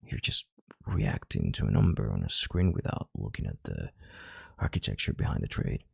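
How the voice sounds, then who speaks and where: Man acting as a very deep, gravelly commanding CEO. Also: big pitch swings, no room sound, heavily acted. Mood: controlled, insistent. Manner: authoritative, measured, halting, sighing and hushing. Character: commanding, calculating male CEO calming a panicked employee. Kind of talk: intimate audio roleplay acting.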